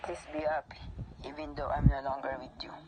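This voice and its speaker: A woman's voice: low-pitched, flat delivery, a little fast, natural voice. A woman playing a tender, grieving lover in an amateur radio play. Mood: tender, sorrowful. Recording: clearly noisy amateur recording.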